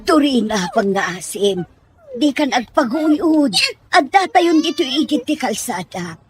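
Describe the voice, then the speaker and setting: A woman's voice: sits high, very animated, unhurried, a little mellow. A woman playing a meek young household helper in a radio-style drama, deferential and pleading.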